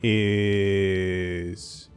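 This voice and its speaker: A man's voice: low-pitched, flat delivery, drawn-out words, smooth, soft background music. A man chatting casually as he livestreams a game, muttering asides to himself and his viewers. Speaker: game streamer. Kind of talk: casual livestream gameplay chat.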